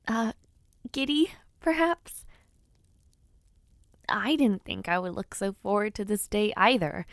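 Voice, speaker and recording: A young noblewoman played by a woman speaks intimately to someone dear in a romance audio drama. She is bashful and giddy, teasing with affection and hesitating softly. Her mid-pitched voice takes its time, in a very dry, close recording. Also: creaky, no room sound, full character voice. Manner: soft hesitations, gentle teasing, shy giggles. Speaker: voice actor in romance audio roleplay. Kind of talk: intimate scripted audio roleplay, speaking to the listener.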